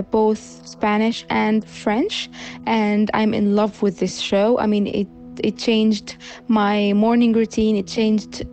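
A woman from Syria, an orthodontist, leaving an affectionate, halting voice message for a podcast. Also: mid-range voice, darkish tone. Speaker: orthodontist and listener. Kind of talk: recorded voice message.

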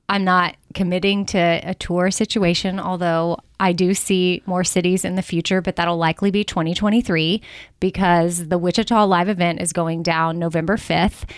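A woman in her forties hosting a podcast, chatting casually, laughing often and slowing down when she reads something aloud. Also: lowish voice, clear, resonant tone, no room sound, clean studio sound. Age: 40s. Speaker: podcast host.

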